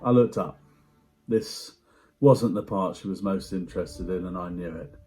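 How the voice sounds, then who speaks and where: A man giving a dramatic first-person story reading in a hushed, measured way with deliberate pauses. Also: deep voice, faint music behind. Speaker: amateur actor performing a story reading.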